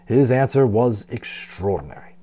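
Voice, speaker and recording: A male storyteller reads with dramatic expression and very lively pitch movement. His tone is mellow and unforced, suited to telling a story aloud to listeners of all ages. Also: normal speed, slightly rough. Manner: dramatic, with brief explanatory asides. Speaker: audiobook reader, storyteller.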